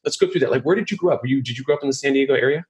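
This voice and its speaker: A middle-aged man hosting a podcast, chatting casually and joking, rambling with quick restarts. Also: medium pitch, breathy edge. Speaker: podcast host. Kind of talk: casual interview chat.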